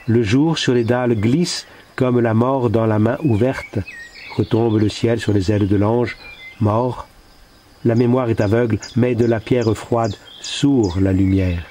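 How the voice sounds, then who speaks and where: A man reading his own poems in a solemn recitation, with measured, deliberate phrasing and pauses. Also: mid-range voice, fairly even intonation, mellow, a little hiss or hum. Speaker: poet reading own work.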